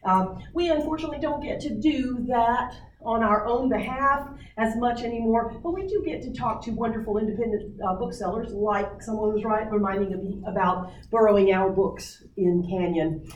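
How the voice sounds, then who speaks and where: A woman leading a writing workshop, coaching people on their book pitches with many fillers. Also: moderate pace, few pauses, a little hiss or hum. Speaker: workshop presenter. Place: meeting room. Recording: roomy distant audience recording.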